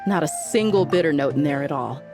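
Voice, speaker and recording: Cocky laid-back merc woman, lowish voice, game cutscene w music. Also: expressive, a little fast. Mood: relaxed relish. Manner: casual, swaggering, slangy. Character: brash, easygoing, hard-drinking mercenary woman. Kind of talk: video game cutscene acting.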